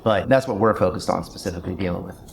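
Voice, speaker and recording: A man, a startup founder, explains his company on a conference panel in a casual way full of fillers. His voice sits low. Faint ambience from the hall hangs behind him. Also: quick pace, gravelly, slight background noise. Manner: casual, lots of "like".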